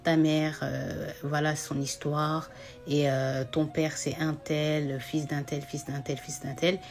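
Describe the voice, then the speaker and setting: A woman's voice: low-pitched, flat delivery, takes it slowly, darkish tone, soft background music, voice pushed down. A woman voicing a loving, protective adoptive mother in a spoken story, emphatic and reassuring. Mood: subdued.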